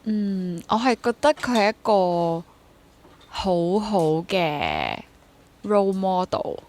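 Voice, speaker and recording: A woman's voice: mid-range voice, big pitch swings, slow, darkish tone. A woman in her twenties with a Hong Kong Cantonese accent, talking casually and reflectively as an interview guest and mixing in English words. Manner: mixes English words into speech. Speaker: interview guest, stage actor and drama teacher.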